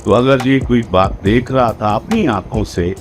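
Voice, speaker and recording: A man's voice: mid-range voice, unhurried, faint music behind. A man with a Pakistani accent giving an explanatory law lecture, didactically repeating and rephrasing points and mixing in English legal terms.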